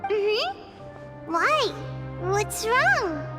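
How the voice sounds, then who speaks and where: A woman voicing a tiny childlike companion creature in a video game, bubbly and eager, with a squeaky voice. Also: sing-song, extremely slow, echoey room, full character voice. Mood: puzzled. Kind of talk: scripted video game dialogue. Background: loud game music.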